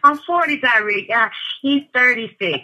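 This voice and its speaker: Bright-voiced candid woman in her forties. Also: on the high side, animated, moderate pace, rough recording. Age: 40s. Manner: candid and laughing, dramatic exclamations. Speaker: caller. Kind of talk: casual chat.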